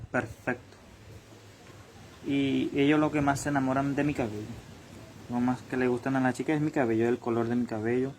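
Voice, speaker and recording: A man's voice: mid-range voice, a little mellow, a little hiss or hum. A young man talking straight to his viewers in a boastful, repetitive monologue about himself. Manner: bragging, repetitive, addresses viewers directly.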